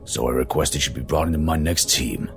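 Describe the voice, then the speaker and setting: A no-nonsense squad leader, acted by a man in a sci-fi audio drama. The voice is very deep, stern and authoritative. Soft music sits behind it. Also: steady tone, brisk.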